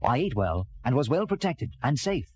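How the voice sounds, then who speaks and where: A man playing an animated castaway storyteller. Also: mid-range voice, moderate pace, close to an everyday voice. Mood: earnest, reflective. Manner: animated, theatrical delivery. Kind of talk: dramatized children's read-along storytelling. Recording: vintage record with music behind. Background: music.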